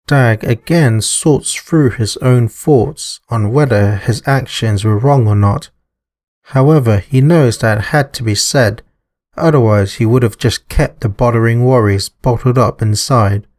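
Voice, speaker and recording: A man's voice: mid-range voice, a little mellow. A man reading scripted story narration for a visual novel, with music behind him. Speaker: narrator and author.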